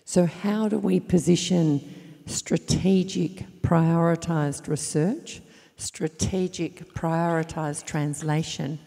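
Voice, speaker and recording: A woman with a low voice poses a long, probing question from the audience. She presses her point when it is misheard. The hall gives her voice a long reverb. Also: fairly even intonation, slow, vocal fry, dark tone. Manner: probing, insistent. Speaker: audience member asking a question. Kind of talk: question from the floor.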